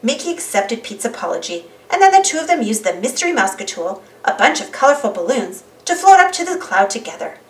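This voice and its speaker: A woman reading a children's storybook aloud as an animated narrator. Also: a little high, fast talker, few pauses. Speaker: storybook reader. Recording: roomy, slightly distant mic.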